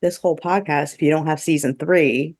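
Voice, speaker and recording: A woman co-hosting a podcast in a casual review chat, with halting, filler-heavy phrasing. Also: sits low, fairly even intonation, darkish tone, no echo, clean studio sound. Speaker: podcast co-host.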